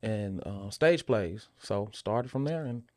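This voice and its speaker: Low-voiced man. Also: animated, slow, darkish tone. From Memphis, United States. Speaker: interview guest, actor and filmmaker. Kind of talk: casual conversational interview.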